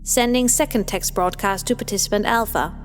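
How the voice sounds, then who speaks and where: A woman voicing a businesslike female scientist in an audio story, formal, measured and procedural, over music. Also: medium pitch, lightly acted. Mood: formal, composed.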